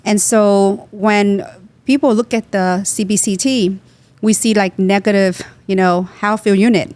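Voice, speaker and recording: A woman's voice: medium pitch, fairly even intonation, a little slow, darkish tone, dry recording. Earnest woman from Vietnam, dry close sound. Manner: explanatory, earnest, drops small grammar words. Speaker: guest dentist. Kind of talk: podcast interview.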